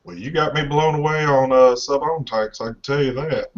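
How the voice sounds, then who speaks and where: A man hosting a casual live show, bantering in a relaxed way with guests and laughing often. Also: mid-range voice, moderate pace, dull audio. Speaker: show host.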